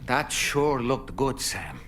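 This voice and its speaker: A talkative male companion from a sci-fi game. His voice is bright and mid-pitched, with very animated intonation. He phrases things politely and a little formally, with a wry edge. Faint noise sits behind him. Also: takes it slowly. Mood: polite, friendly. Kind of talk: scripted video game character dialogue.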